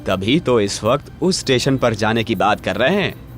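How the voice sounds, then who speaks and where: A man's voice: lowish voice, expressive, normal speed, soft background music, lightly acted. A man playing a plain-spoken grown man in a spooky cartoon story, speaking conversational dialogue. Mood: questioning.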